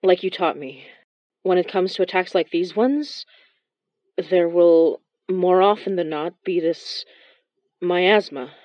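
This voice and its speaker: A woman's voice: lowish voice, takes it slowly, dry recording. Wistful young female narrator for horror audio drama, played by a woman; confiding, apologetic, dry but slightly dull sound. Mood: reflective, confiding. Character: young Filipino immigrant woman, reflective, wistful, awkwardly apologetic. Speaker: narrator and lead of a horror fiction podcast.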